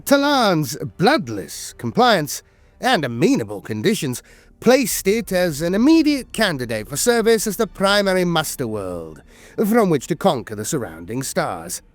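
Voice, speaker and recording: A man reading scripted lore narration in a solemn, measured storytelling manner with deliberate dramatic pauses. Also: fairly high pitch, wildly swooping pitch, no room sound. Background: background music.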